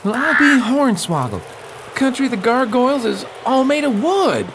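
A woman's voice: sits high, very animated, moderate pace. Woman as a folksy young traveller, noisy background. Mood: uneasy. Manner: folksy, plain-spoken. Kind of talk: radio play acting.

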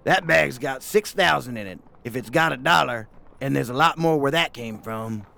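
A man's voice: medium pitch, expressive, moderate pace, creaky, sharp tone. A man playing a blunt, boastful gold prospector in an audio drama. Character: prospector Grant Reynolds, proud of his gold, touchy. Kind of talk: radio drama acting.

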